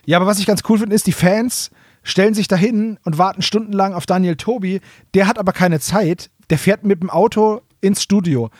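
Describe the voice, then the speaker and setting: A man's voice: high-pitched, a little fast, a little mellow, no echo, studio quality. A man chatting casually and humorously as a podcast co-host, colloquial and jokey, slipping into short mock quotes. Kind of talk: casual humorous chat recapping an audio drama.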